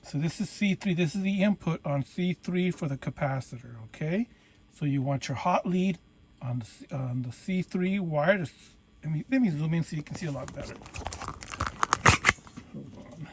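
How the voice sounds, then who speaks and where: Higher-voiced man giving a hands-on tutorial. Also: a little mellow, slight background noise. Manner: instructional, halting step-by-step phrasing. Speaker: tutorial presenter, radio hobbyist. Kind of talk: informal hands-on demonstration.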